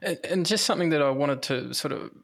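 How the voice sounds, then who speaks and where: Creaky-voiced man hosting a podcast. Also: on the high side, expressive, quick pace, a bit bright. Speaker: podcast host. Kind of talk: casual panel chat.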